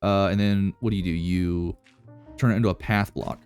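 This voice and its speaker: A man's voice: deep voice, steady tone, normal speed, a little mellow, clear, resonant tone, no echo, faint music behind. A man giving casual, rambling gameplay commentary and answering chat, joking about himself and then boasting. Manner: rambling, self-deprecating, playfully cocky. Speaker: Minecraft YouTuber.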